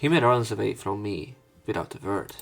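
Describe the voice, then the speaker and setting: A man's voice: fairly low pitch, lively intonation, normal speed, creaky, faint music behind. A man reading visual novel text aloud and breaking off for casual, sweary let's play commentary. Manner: casual, sweary interjections between read lines.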